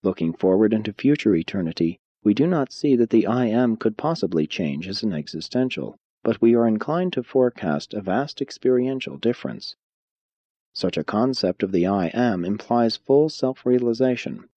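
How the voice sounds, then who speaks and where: A man narrates a scripted spiritual audiobook with a low-leaning, very mellow voice. His intonation moves widely, yet the phrasing stays solemn and deliberate. The recording is slightly dull. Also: expressive. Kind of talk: scripted audiobook narration of philosophical religious text.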